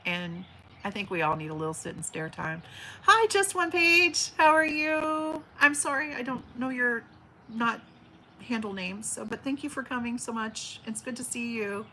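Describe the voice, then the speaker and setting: Bright-voiced woman in her forties chatting. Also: a little high, animated, moderate pace, slight background noise, low-quality recording. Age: 40s. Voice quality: big teacher voice. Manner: chatty and affectionate, frequent fillers and restarts. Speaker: livestream host. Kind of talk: casual livestream chat reading comments. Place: at home by an open window, birds outside.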